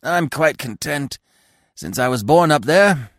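A man voicing a chatty, fussy, excitable squirrel in a storybook, gushing and emphatic. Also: fairly high pitch, unhurried, no echo, studio quality, pitched above the performer's own voice. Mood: chatty, sociable.

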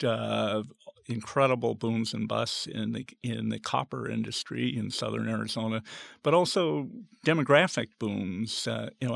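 Policy researcher interviewee: American male, very creaky voice, halting and reflective. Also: mid-range voice, a bit bright. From western United States. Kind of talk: thoughtful interview answers.

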